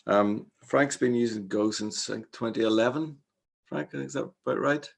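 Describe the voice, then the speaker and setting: Gravelly-voiced man hosting a meetup. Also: mid-range voice, moderate pace. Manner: casual, with fillers. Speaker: meetup host. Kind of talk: introducing a speaker.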